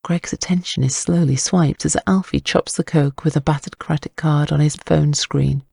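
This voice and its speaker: Guy narrating an audio drama, wry n wordy, dark relaxed voice w lots of vocal fry. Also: a little high, moderate pace, dry recording, studio quality.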